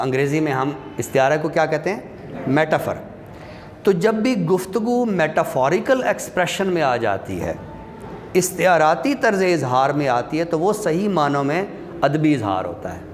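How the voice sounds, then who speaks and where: A man giving a classroom lecture as a literature teacher, explaining things step by step and addressing his listeners directly. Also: a little high. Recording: reverberant hall, clear background noise.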